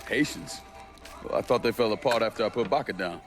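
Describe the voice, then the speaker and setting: Actor as a low-voiced, vengeful American war veteran. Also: male voice, brisk, natural voice. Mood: gruff, suspicious. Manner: blunt, resolute. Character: vengeful young Vietnam veteran, male, grim and determined. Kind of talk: video game cutscene acting. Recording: music behind the voice.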